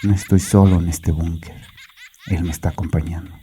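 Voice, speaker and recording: An actor playing a haunted, penitent ex-convict in a dramatic horror monologue, brooding and theatrical. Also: male voice, low-pitched, little pitch movement, a little fast, noisy background, lightly acted. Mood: haunted, uneasy. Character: haunted, penitent male ex-convict confessing from a bunker.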